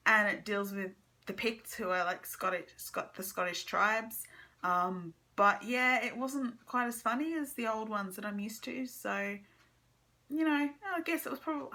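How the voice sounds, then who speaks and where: A young woman giving a casual, halting book review to camera, informally trailing off and circling back. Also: medium pitch, pushed and bright. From Australia.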